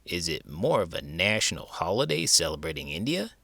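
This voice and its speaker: A man voicing a puzzled, blunt but good-natured sidekick on a children's story show, gruff and clueless. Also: low-pitched, sing-song, brisk, sharp tone, no room sound, pitched below the performer's own voice, exaggerated voice.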